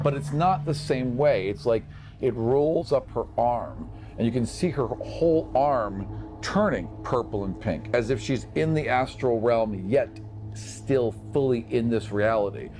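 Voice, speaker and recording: A man running a tabletop game as game master, improvising casual, animated narration with spoken sound effects. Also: medium pitch, measured pace, soft background music.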